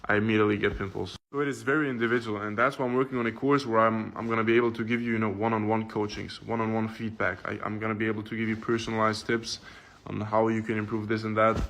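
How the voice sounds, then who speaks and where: A man's voice: fairly low pitch, level tone, fast, vocal fry, fairly bright tone. A man talking casually and directly to viewers, giving skincare advice like a coach.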